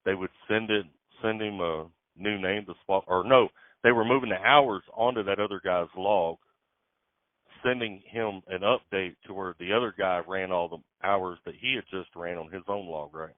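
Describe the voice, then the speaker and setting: A low-voiced man telling stories in a laid-back, plain-spoken way on a phone call. The sound is dry and narrow, like a phone line. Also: moderate pace, no room sound. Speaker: truck driver. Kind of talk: casual phone chat.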